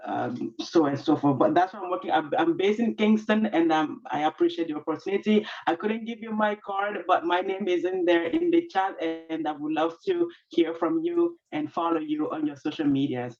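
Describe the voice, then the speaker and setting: A woman's voice: on the low side, moderate pace, hardly any pauses, a little mellow. A woman, a small business owner, introducing herself earnestly on a video call with many fillers and restarts. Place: at her workplace. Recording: dull lo-fi video call audio.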